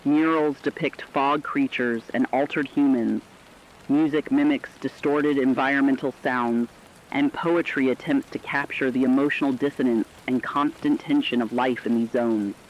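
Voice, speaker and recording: A man's voice: mid-range voice, steady tone, normal speed, lightly acted. Man playing a solemn radio anchor, dull lo-fi sound. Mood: solemn, earnest. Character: solemn radio news anchor in a fog apocalypse. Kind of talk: scripted broadcast commentary. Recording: dull lo-fi audio with rain noise.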